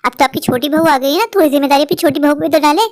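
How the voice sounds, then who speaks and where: A woman's voice: high voice, racing, natural voice. A woman doing a fed-up elder daughter-in-law. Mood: irritated. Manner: bitter, sharp retorts. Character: defiant young mother, the weary elder daughter-in-law.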